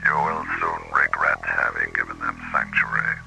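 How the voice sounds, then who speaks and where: A man playing a cold, menacing alien pursuer in a radio drama, speaking slowly and ominously, as if in command. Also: a bass voice, very animated, gravelly, very noisy, heavily acted. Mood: cold menace. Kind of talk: radio drama villain acting.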